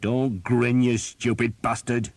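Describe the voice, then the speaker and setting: A man voicing a gruff, foul-mouthed archer captain. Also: fairly low pitch, moderate pace, dry recording, voice pushed up, lightly acted. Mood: angry scolding. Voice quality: shouting, cursing.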